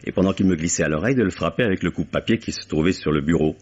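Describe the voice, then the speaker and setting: A man's voice: low-pitched, very fast, darkish tone, husky. An actor playing a jovial, teasing male lead actor in an old French radio drama, genial and theatrical. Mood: storytelling. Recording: dull old radio recording.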